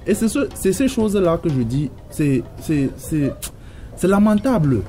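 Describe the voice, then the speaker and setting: A man's voice: on the high side, big pitch swings, measured pace, warm tone. Cameroonian guy debating casually, keeps repeating himself, music in the back. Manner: emphatic, repeats himself while searching for words. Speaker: debate guest.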